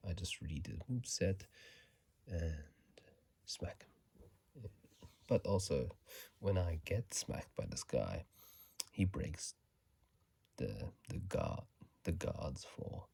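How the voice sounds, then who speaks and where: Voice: deep voice, sing-song, takes it slowly, vocal fry, pauses often, husky, no room sound. An ASMR host whispering casual, rambling game commentary into a phone microphone, often correcting themselves mid-sentence. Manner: soft unvoiced whisper, rambling, with self-corrections. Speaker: ASMR gaming channel host.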